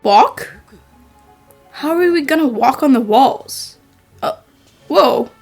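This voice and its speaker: Plucky young detective for animated mystery fan dub, female actor: high-pitched, earnest, animated. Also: slow pace, vocal fry, faint music behind, heavily acted. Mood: puzzled, curious.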